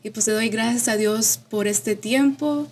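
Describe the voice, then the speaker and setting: A woman in her twenties from Austin, Texas, giving casual personal testimony as a guest, halting and slipping in English words. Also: fairly high pitch, a little mellow, a little hiss or hum. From Austin, Texas, of Mexican parents. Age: 20s. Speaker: podcast guest.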